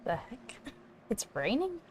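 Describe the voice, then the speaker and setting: A woman's voice: fairly high pitch, wildly swooping pitch, unhurried, a little hiss or hum. A woman doing casual playthrough commentary as a gaming streamer. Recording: game music under the voice. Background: video game music.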